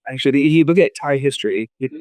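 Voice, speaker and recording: A man's voice: mid-range voice, fast, darkish tone, no echo. A man moderating a live discussion in an informal, conversational way.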